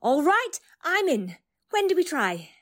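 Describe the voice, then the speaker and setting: A woman's voice: sits high, very animated, a little slow. An actress playing a polite, curious female AI turned human in an audio drama, courteous and formal. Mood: curious, questioning.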